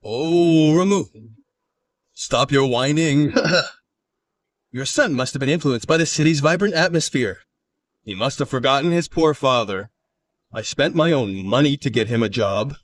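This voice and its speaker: A man voicing an arrogant, callous village chief, scornful and domineering, in an animated story. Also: mid-range voice, measured pace, far from the mic, lightly acted.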